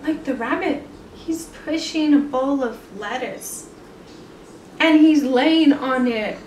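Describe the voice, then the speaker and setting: A woman's voice: high-pitched, slow pace, darkish tone. A woman in her thirties reading a picture book aloud to children and commenting on it. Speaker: children's storybook reader. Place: indoor room with bare wall. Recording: echoey room, distant mic, some noise.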